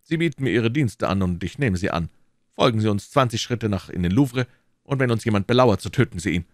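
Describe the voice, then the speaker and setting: Audiobook figure, man as proud aristocrat, courteous, commanding, lifted pitch. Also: expressive, brisk, no echo, clean studio sound, close to an everyday voice. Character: haughty English nobleman disguised as a musketeer, courteous, commanding. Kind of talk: audiobook character acting.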